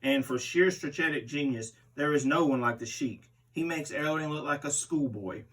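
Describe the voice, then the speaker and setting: A man's voice: fairly high pitch, normal speed, fairly bright tone, lightly acted. A man reading aloud as a brutal, boastful barbarian pro wrestler in a menacing promo style. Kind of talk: interview read aloud as a wrestler. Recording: distant microphone.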